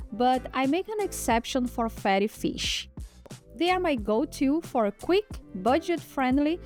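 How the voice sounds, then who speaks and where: A woman in her thirties whose first language is Portuguese, narrating a relaxed lifestyle vlog in a chatty way and restarting words now and then. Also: a little high. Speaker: lifestyle vlogger. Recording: soft background music.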